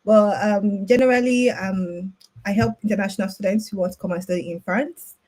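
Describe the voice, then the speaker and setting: A woman co-hosting an online event introduces herself over a computer mic on a video call. She speaks hesitantly and fills her pauses with many ums. Her voice sits at a middle pitch. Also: darkish tone, rough recording. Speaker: co-host and education consultant. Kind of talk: casual self-introduction.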